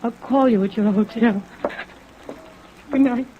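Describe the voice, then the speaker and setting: A woman's voice: fairly high pitch, unhurried, close to an everyday voice. Woman acting wistful widow in muffled radio drama. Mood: uneasy. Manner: hesitant, confessional, melancholy. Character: lonely, wistful young widow, confiding over drinks. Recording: muffled vintage radio recording, steady rain noise.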